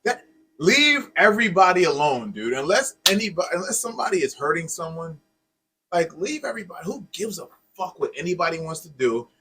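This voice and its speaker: A man's voice: a little high, sing-song, brisk. A man talking casually and earnestly as a podcast co-host, with informal, buddy-like phrasing. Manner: informal, peppered with "man" and "dude".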